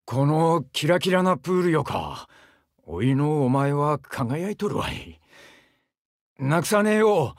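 A warm-hearted, cocky young seaman from a mobile game, the eager big-brother type. He speaks a rustic southern Japanese dialect in a gravelly, raised voice. His delivery is boisterous and hugely varied, moving between bashful murmurs and hearty shouts. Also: a little high, sing-song, slow pace, no room sound, studio quality, full character voice. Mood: affectionate, earnest. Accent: southern Japanese dialect, Kagoshima-like.

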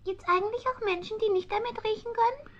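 A woman's voice: very high-pitched, quick pace. A woman playing a nosy, cheeky talking tomcat in a children's radio play, with an inquisitive, childlike, persistent manner. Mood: curious, pert. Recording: dull old vinyl record transfer.

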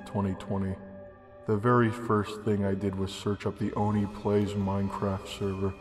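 Slow, low young man in heavy reverb. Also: a little mellow. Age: young adult. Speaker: narrator. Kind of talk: deadpan reading of a comedic horror story. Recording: long reverb, music behind. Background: music.

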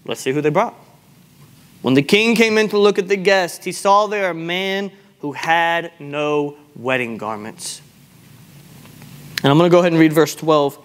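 A man's voice: a little high, normal speed, fairly bright tone. A man preaching a sermon in an emphatic, exhortatory manner, repeating phrases for weight. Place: large church sanctuary, speaking from the pulpit to a seated congregation.

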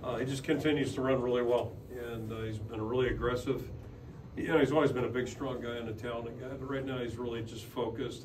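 An older man, a head football coach, answering press questions at a podium in a steady, plain-spoken way with many fillers and restarts. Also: mid-range voice. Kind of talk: postgame press conference answers. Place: press room in front of a logo backdrop. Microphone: podium microphone. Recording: roomy, slightly distant, noisy.

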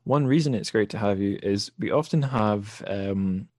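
A man with a fairly low voice talks as a podcast host. His delivery is informal and rambling, with a self-deprecating streak. The sound is dry and close. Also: moderate pace, a little mellow, dry recording. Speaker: podcast host interviewing a guest. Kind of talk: casual, rambling podcast talk.

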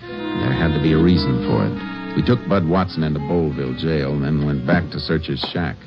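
Deep-voiced stern Texas Ranger. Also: male voice, normal speed. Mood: grim narration. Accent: American. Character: stern, shrewd male Texas Ranger narrating his case. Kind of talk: old-time radio drama acting and narration. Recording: muffled vintage radio recording, music behind.

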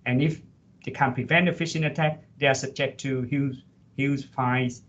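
A man's voice: mid-range voice, steady tone, normal speed, fairly bright tone. Man, middle-aged, panelist, headset call, step-by-step, filler words, dull sound. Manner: explains point by point, many fillers. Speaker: panelist, lecturer. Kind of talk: panel discussion answer. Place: office with headset. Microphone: headset on video call. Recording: dull lo-fi video call audio.

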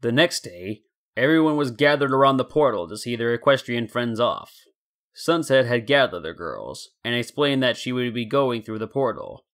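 A man reads fan fiction as its narrator. His voice is medium in pitch, and he delivers the prose deliberately, with a storyteller's sense of drama. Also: expressive, a bit bright.

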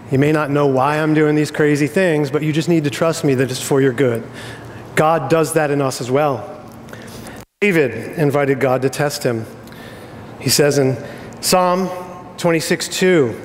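Casting: American male pastor, middle-aged, slightly high voice, informal joking delivery, reverberant auditorium. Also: a little hiss or hum. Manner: informal, joking, checks in with "right?". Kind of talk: conversational sermon. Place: on stage in a church auditorium. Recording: reverberant hall sound.

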